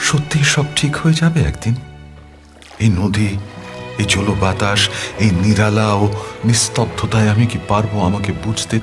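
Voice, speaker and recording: A man performing an audio story as a restless young man writing a love letter, reflective and questioning, with music behind him. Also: on the low side. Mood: reflective, hopeful. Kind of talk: dramatic audio story narration.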